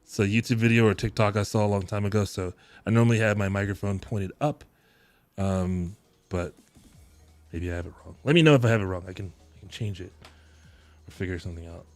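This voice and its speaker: A man in his thirties hosting a live tech-deals stream from his home setup, chatting in a relaxed, rambling way with frequent chuckles into a close boom mic. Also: fairly quick, dry recording, faint music behind. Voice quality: deep voice. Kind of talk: casual live-stream chat. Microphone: boom mic close to mouth, headphones on.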